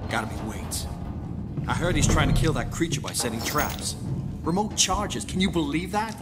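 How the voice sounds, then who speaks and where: Edgy, high-pitched male survivor. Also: sing-song, normal speed. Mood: tense, irritable. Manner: agitated, curt, blunt. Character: stressed, foul-mouthed trapped male space station survivor. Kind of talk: sci-fi horror game acting. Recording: loud music over the voice.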